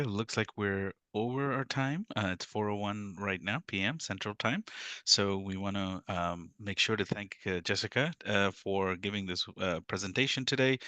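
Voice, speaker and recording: A man's voice: fairly low pitch, sharp tone, no echo. A male moderator closing a talk, polite and brief. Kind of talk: moderating a webinar.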